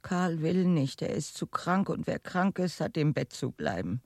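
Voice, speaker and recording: An actress playing a weary, stubborn housewife who nurses a sick husband in a radio drama, speaking in a halting, reciting way. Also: female voice, deep voice, very animated, darkish tone, no echo, heavily acted. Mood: plaintive, resigned. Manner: slow, halting recitation, reciting chores and puzzle words to herself. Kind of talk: German radio drama acting. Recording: studio radio play with music underneath.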